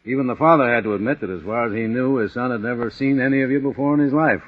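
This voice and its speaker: Man doing a calm sly police inspector, slow, muffled old radio sound. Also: mid-range voice, lightly acted. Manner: unhurried, hesitant with "uh". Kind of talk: 1940s radio acting.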